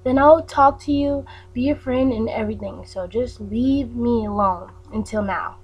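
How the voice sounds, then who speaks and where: A woman's voice: a little high, moderate pace, dull audio, close to an everyday voice. A woman voicing a snippy girl who ends a friendship, curt and dismissive, with a faint hum behind her. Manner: curt, dismissive, name-calling.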